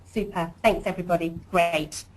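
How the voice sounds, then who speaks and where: A woman hosting a corporate webinar as programme director, presenting warmly and chattily with frequent ums and uhs. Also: lowish voice, steady tone, moderate pace.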